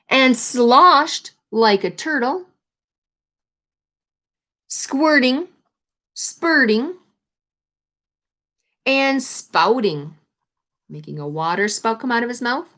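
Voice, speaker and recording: A woman teacher reading a children's picture book aloud, with expressive, deliberate reading and simply worded explanatory asides. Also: on the high side.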